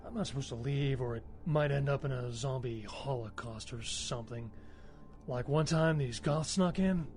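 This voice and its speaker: A man's voice: medium pitch, slight background noise, close to an everyday voice. An actor playing a cocky, trigger-happy cemetery caretaker in a video game, wry and colloquial, swaggering as he talks. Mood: casual, bargaining. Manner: wry, swaggering, gleeful about violence, colloquial.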